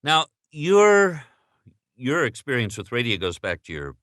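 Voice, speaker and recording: Bright, animated American male interviewer. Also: mid-range voice, unhurried, dry recording, studio quality. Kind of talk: conversational interview questions.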